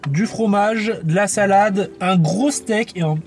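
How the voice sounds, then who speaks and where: A man's voice: sits high, a little slow. A man in his late twenties with a French accent, chatting casually and slangily to viewers while eating in a parked car. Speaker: YouTube vlogger.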